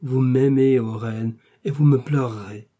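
A man's voice: mid-range voice, steady tone, measured pace, mellow, natural voice. A man playing a passionate, melancholy duke in love, speaking in fervent, pleading declamation for a dramatic novel reading. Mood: exultant. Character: passionate, lovestruck English duke, reckless and melancholy.

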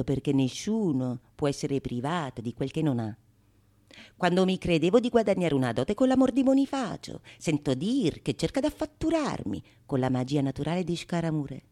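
An actress playing a shrewd, mocking courtesan in a theatrical radio monologue, declamatory and sardonic. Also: female voice, low-pitched. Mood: scornful, declamatory. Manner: declamatory, sardonic, swinging from sung refrains to hushed asides. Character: shrewd, mocking, calculating courtesan scorning her besotted suitor. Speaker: stage actress performing solo.

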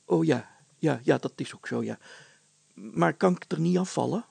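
A man's voice: fairly high pitch, animated, normal speed, creaky, a little mellow, breathy edge, no echo, voice pushed up, heavily acted. A man voicing an anxious, blustering village mayor in a storybook, stammering and hesitant, easily thrown into breathless panic. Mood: nervous, pleading.

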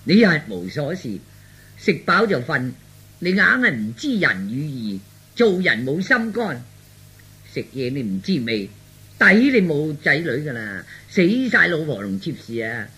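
A man playing a brash young son who feigns madness, blustering and scolding in a comic radio drama, with a husky voice. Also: a little high, very animated, normal speed, bright, forceful tone, full character voice. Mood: mock-mad ranting.

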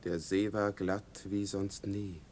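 A man's voice: on the low side, flat delivery, slow, darkish tone, a little hiss or hum. An actor playing a dreamy condemned soldier who reports lyrically and deferentially to his captain in a poetic radio drama. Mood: dreamy, wistful.

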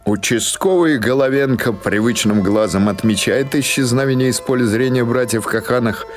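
A man's voice: mid-range voice, moderate pace, faint music behind. A man reading literary fiction aloud as a scripted audiobook narration.

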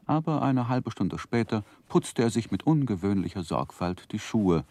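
A man's voice: fairly low pitch, animated, normal speed, mellow, no room sound. An actor playing a grown man who earnestly recalls his boyhood, narrating in a literary 1960s German radio play style. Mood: wry.

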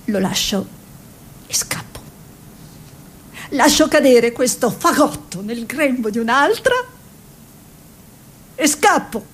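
A woman painter and mother, tender and anguished, in a literary radio drama. Her voice sits fairly high and moves through short, lyrical, deliberate phrases. There is faint noise and a low rumble under it. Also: expressive. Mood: anguished, guilty. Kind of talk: literary stage-style radio drama monologue and dialogue.

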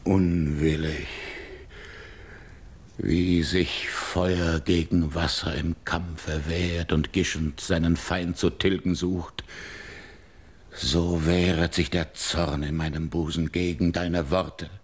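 Deep, slow, stern old king. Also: little pitch movement. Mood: brooding, reluctant. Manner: weighty, deliberate, declamatory. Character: proud, stern ageing barbarian king holding back anger. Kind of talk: classical stage verse radio drama.

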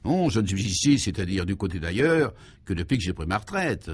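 A man's voice: on the low side, sing-song, fairly quick, slightly rough, dry recording. Man voicing coy, self-possessed headmistress. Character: gracious, coquettish retired courtesan turned headmistress.

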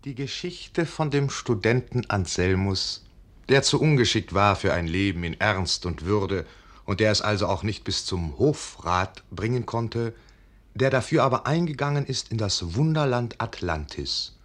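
Wistful, low-voiced poet storyteller. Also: male voice, lively intonation, unhurried, fairly bright tone. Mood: wistful, confiding. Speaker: narrator. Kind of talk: radio play storytelling.

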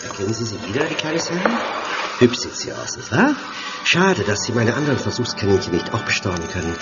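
An actor voicing a cruel, taunting mad surgeon in a horror radio play, with a theatrical, sneering delivery. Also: male voice, fairly low pitch, sing-song, a little fast. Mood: gloating. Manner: theatrical, sneering, swinging into hysteria. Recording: dull muffled audio over loud music.

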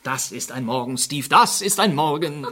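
An actor playing a shrewd, courteous gentleman detective in a radio crime drama, politely probing and wryly sceptical. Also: a little high, lively intonation, normal speed. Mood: brisk, wry.

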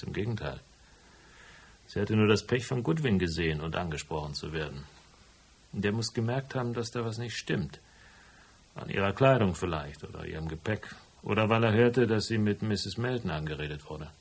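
Hardboiled detective in a german radio play, low gravelly voice, dry and stubborn. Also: male voice, lively intonation, moderate pace, heavily acted. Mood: matter-of-fact. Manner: dry, matter-of-fact. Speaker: voice actor and first-person narrator. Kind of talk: German radio drama, dialogue and narration.

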